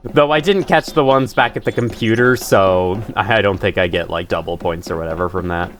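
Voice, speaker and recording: A young man with a stuffy nose, rambling and swearing casually through relaxed gameplay commentary. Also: sits low, moderate pace. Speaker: gaming channel host. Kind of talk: casual let's-play commentary.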